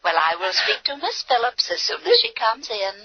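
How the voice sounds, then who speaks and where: Casting: formal phone operator, lively lilting voice, old radio sound. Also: female voice, a little high, brisk, breathy edge, no echo. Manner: formal, businesslike. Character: crisp, polite female telephone operator. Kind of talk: 1940s radio acting. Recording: muffled 1940s radio broadcast.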